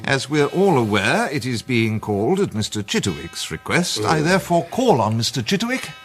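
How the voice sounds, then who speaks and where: A man acting the courteous, brisk president of a gentlemanly detective club in a radio mystery drama, with an English accent and a formal, articulate manner. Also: mid-range voice, very animated, faint music behind. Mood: formal, genial.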